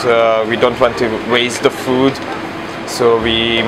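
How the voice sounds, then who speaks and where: Slow, halting man in a noisy room. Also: medium pitch, little pitch movement. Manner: halting non-native English. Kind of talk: informal explanation to camera. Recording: clear background room noise.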